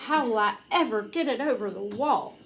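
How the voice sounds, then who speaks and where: A woman voicing a soft-hearted, eager male furry monster, overly dramatic and sobbing easily. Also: fairly high pitch, moderate pace, lightly acted. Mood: strained. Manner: overly dramatic, wailing sobs.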